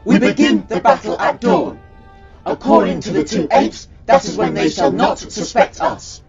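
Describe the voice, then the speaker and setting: An actor playing a pragmatic, commanding reptile military leader in an audio drama, speaking in formal, measured declarations. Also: male voice, sits high, expressive. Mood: stern, calculating. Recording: muffled lo-fi, loud music behind.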